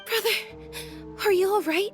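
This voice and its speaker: High-voiced, emotional young girl. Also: over music. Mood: alarmed. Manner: halting, pleading, emotional. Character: distressed, emotional young girl raised in the orphanage. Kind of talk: dramatic video game voice acting.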